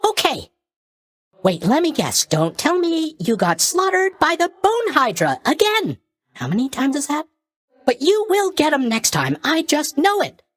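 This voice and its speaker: Casting: very high-voiced, bouncy young sleep god for a game; folksy, rambling greeter, swooping intonation, tactless but sunny. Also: male voice, a bit bright, heavily acted. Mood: encouraging. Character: cheerful, drowsy young male god of sleep, chatty underworld greeter. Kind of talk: animated video game character voice lines.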